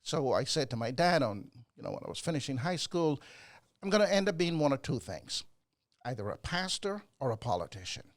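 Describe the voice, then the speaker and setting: An older man from the Bahamas, a psychologist guest, telling his story in a measured, gracious way and repeating words as he builds a point. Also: mid-range voice, big pitch swings, no echo. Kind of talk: conversational storytelling.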